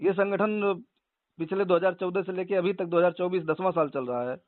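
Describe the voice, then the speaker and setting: High-pitched insistent man on a phone line. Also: fairly even intonation, a little fast, no room sound. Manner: argumentative, insistent, repeats himself. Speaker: organisation leader debating a caller. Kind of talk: heated phone debate. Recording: tinny narrowband phone call recording.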